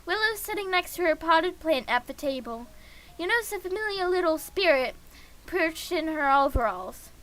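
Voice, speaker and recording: A woman livestreaming a game in a casual, excitable way, reading the text aloud and reacting with giggly gushing. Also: very high voice, normal speed, rough recording.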